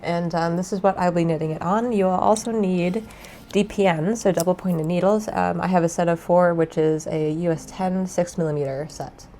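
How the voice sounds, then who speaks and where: A woman with a stuffy, cold-affected voice, explaining a knitting tutorial in a relaxed way with frequent ums. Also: fairly low pitch, moderate pace, a little hiss or hum. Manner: relaxed, many ums and uhs. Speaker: knitting instructor.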